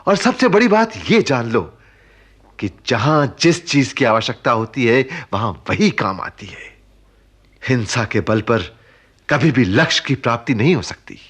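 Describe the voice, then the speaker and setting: An actor playing a principled, grieving middle-aged uncle in a radio drama, speaking in a husky, emphatic, preaching manner. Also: male voice, medium pitch, sing-song, a bit bright, full character voice. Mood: stern, reproving. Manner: emphatic, deliberate, preaching with repeated phrases. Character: grieving, principled uncle, stern and morally earnest. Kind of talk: broadcast radio drama acting.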